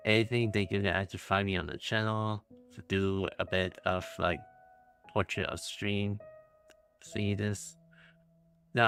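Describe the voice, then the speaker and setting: A man giving casual, halting, rambling livestream commentary as a game streamer. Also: sits low, gravelly, fairly bright tone, slight background noise.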